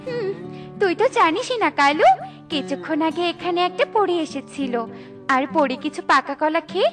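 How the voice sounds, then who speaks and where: An arrogant cartoon banana tree played by a woman speaks in an extremely high voice. It is boastful and haughty, looking down on others, with music playing behind it. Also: lively intonation, moderate pace, a bit bright. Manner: haughty and dismissive. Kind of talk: cartoon fairy-tale dialogue acting.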